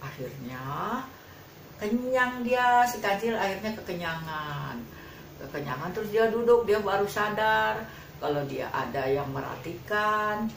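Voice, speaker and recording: A woman's voice: mid-range voice, lively intonation, unhurried. A woman telling a children's fable in an informal, repetitive, colloquial way. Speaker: storyteller. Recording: roomy, distant mic, faint background noise.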